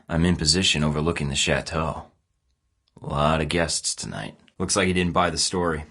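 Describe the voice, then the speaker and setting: A man's voice: low voice, a bit bright. An actor playing a terse, dry-witted male spy agent in game voice lines. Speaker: video game character.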